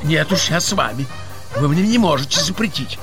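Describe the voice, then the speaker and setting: A high, wildly inflected older gentleman, touchy and pompous. He stammers and repeats himself with fussy politeness in a comic audio drama, with music behind. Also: expressive, brisk. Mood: offended, pleading. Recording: music under the voice.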